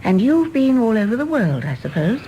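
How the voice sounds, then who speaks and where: A woman playing a composed, wistful and candid English widow in a radio drama, with an English accent and a measured, genteel manner. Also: a little high, very animated, mellow, highs cut off, a little hiss or hum. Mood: candid, curious. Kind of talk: British stage-play radio drama acting.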